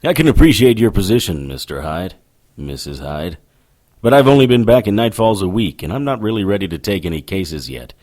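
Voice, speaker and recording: An actor playing a sardonic, weary supernatural detective in a radio drama with noir-style narration. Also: male voice, sits low, animated, fairly quick, a little mellow. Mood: wry, reluctant. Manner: sardonic, dry.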